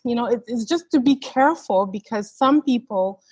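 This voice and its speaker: Husky-voiced woman hosting a panel. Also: a little high, expressive, moderate pace, a little mellow, lo-fi sound. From Canada. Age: 40s. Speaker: host. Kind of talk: moderating a discussion panel.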